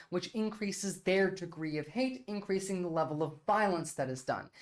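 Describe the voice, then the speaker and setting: A Turkish-born woman, debating her chat on a casual livestream. She argues bluntly and emphatically, peppering her points with "like". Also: fairly low pitch. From Turkey. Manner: blunt, argumentative and emphatic, with frequent "like" fillers.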